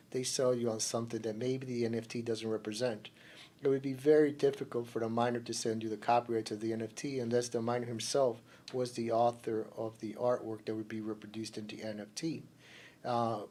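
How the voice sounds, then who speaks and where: A male lawyer from Cuba hosts a radio segment, talking loosely and explaining as he goes. His voice sits at a medium pitch, and he often trips over his words and starts his phrases again. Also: darkish tone. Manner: hesitant, often restarting phrases. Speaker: lawyer hosting a radio program. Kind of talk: informal explanatory radio talk.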